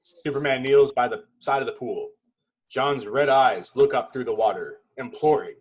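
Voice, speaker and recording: A man reading stage directions as narrator at a table read, in dramatic, clipped phrases with pauses. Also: mid-range voice, breathy edge, highs cut off.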